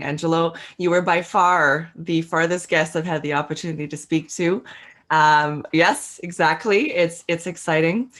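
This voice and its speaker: A woman hosting a podcast, welcoming her guest with eager enthusiasm. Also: low-pitched. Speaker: podcast host. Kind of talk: casual podcast interview.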